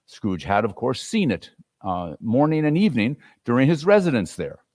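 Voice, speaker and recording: A man narrating a classic story as a dramatic reading, with expressive, theatrical delivery. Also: mid-range voice, normal speed. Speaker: narrator and performer. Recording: reverberant hall.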